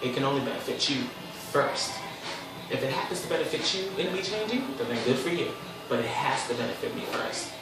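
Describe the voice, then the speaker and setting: A man's voice: a little fast, recorded from a distance, loud music behind the voice. Chatty young man in an echoey room. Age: 20s. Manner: expressive and chatty, with sudden high squeals. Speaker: host. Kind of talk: casual solo talk show monologue. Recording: echoey room, voice a bit off the mic.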